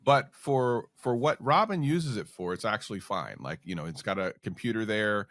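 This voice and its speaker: Lively low-voiced man hosting a podcast. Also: normal speed, no echo, clean studio sound. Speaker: podcast host. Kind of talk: casual interview chat.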